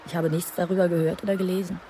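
A woman's voice: on the low side, flat delivery, racing, close to an everyday voice. A woman acting in a radio drama as a polite, curious hydrologist with a tentative manner. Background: music.

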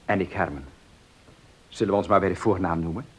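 An actor playing a courteous, eager, helpful gentleman in a Dutch radio play, formal and given to stammering repeats. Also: sits low, steady tone, fairly quick, breathy edge.

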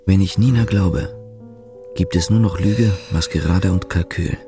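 A man's voice: sits low, measured pace, over music. An actor playing a sceptical, terse man who probes with questions in a naturalistic radio play. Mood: brooding. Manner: probing, terse. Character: sceptical, probing East German man loyal to his state.

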